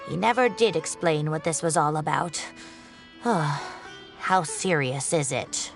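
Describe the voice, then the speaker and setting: Unhurried older lady professor, low-pitched. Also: creaky, music in the background, exaggerated voice. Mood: gossipy, concerned. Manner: unhurried, expressive exclamations. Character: older female professor, neighborly, fussing and gossipy. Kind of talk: video game voice acting.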